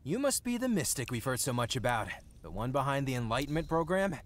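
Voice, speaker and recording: An actor playing a brash, wry young nobleman in a fantasy game, with a casual, expressive delivery. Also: medium pitch, quick pace, natural voice. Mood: curious, doubtful. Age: young adult. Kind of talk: fantasy video game voice acting.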